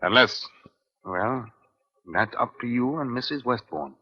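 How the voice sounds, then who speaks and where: Measured police inspector, old radio. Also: male voice, medium pitch, expressive, bright, forceful tone, slightly rough, full character voice. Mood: gently persuasive. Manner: measured, formal, dryly probing. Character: calm, authoritative middle-aged male police inspector. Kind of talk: 1950s radio crime drama acting. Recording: dull, band-limited old radio recording.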